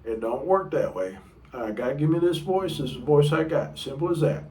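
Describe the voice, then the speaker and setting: Chatty baritone man teaching guitar. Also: animated, moderate pace, dark tone. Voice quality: low baritone voice. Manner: chatty, rambling, sings short demo notes. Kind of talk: casual video lesson. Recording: room mic a bit far off, guitar playing.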